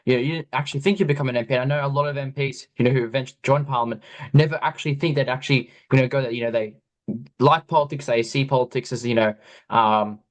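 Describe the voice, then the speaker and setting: An Australian podcast host interviewing a guest, rambling with many "you know"s. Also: male voice, mid-range voice, steady tone, quick pace. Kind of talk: interview chat.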